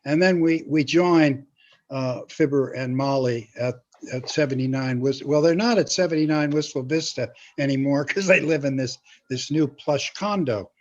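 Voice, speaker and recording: An older man chatting casually as an interview guest, speaking haltingly with small chuckles. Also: mid-range voice. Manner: halting, chuckles while talking. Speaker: guest, comedy writer and teacher. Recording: slightly dull lo-fi sound.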